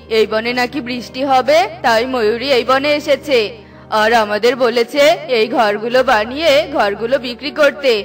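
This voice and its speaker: A woman's voice: a little high, natural voice. A woman voicing an earnest small male songbird who builds and sells houses in a children's cartoon. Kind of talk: children's moral-story cartoon.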